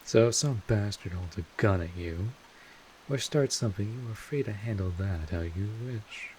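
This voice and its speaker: A man playing a world-weary but kindly old vampire mentor in an audio roleplay, speaking informally and in measured phrases with wry asides. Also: lowish voice, darkish tone, a little hiss or hum, close to an everyday voice, poor audio. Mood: frank, advising. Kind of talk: second-person audio roleplay monologue.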